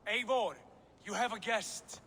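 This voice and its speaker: A man's voice: high voice, unhurried, bright, forceful tone, slight background noise. A man playing a boisterous, commanding Norse god in a video game, hearty and theatrical. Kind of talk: dramatic game cutscene acting.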